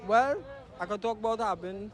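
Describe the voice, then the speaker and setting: A man's voice: high-pitched, normal speed, some chatter behind. A talkative man with a Trinidadian accent, chatting casually as a street interviewee and wandering off the question. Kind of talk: rambling casual chat on the street. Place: outdoors on a street.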